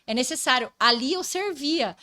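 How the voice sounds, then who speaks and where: Podcast guest, female, high forceful voice, assertive and conversational. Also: expressive, moderate pace. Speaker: podcast guest, author and publisher.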